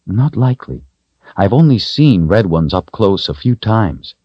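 An earnest, knowing woman from a fantasy storybook, as a man reads her. The voice is lifted above a man's natural register and moves in a lively way. She explains with firm emphasis. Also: fairly low pitch, unhurried, slightly rough, no echo, lo-fi sound, pitched above the performer's own voice, exaggerated voice. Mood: reassuring. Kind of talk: audiobook character voice.

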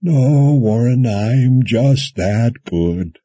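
A shrewd, kindly old wizard from a fantasy audiobook. He talks slowly and folksily in a husky, raised voice, musing and gently teasing. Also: medium pitch, lively intonation, no room sound, highs cut off, pitched above the performer's own voice, heavily acted. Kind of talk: audiobook character dialogue.

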